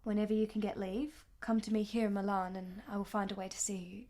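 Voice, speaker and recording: A woman's voice: medium pitch, level tone, fast talker, a little mellow, close to an everyday voice. A woman playing an anguished, tender married lover in a radio play, speaking with earnest emotion. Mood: earnest, wistful. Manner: emotional, earnest, dramatic delivery.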